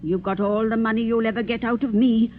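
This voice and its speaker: An actress playing an older rich spinster aunt who scolds imperiously, in 1940s radio acting style. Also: female voice, a little high, fairly even intonation, brisk, a little hiss or hum, natural voice. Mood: stern. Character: old rich spinster aunt, stern and scornful. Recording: tinny phone-like old radio recording.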